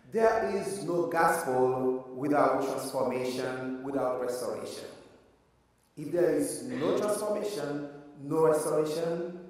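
Man, Haitian accent, pastor preaching, very slow delivery, distant mic, big church echo. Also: medium pitch. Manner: slow, earnest preaching with emphatic rises. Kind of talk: sermon. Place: church sanctuary. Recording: echoey church hall from far off.

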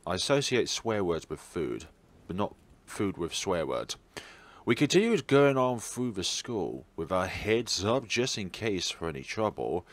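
A man's voice: low voice, sing-song, a little slow. A man hosting a let's play, reading a visual novel aloud theatrically and adding wry, playful asides. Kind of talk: playful gaming commentary and expressive read-aloud of a visual novel.

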